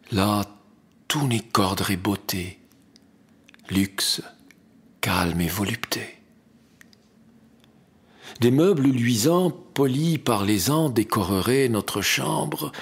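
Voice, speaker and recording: Very husky, slow man reciting poetry. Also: medium pitch. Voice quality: husky, breathy, gravelly. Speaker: poetry reader. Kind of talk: dramatic recitation of classic French poetry.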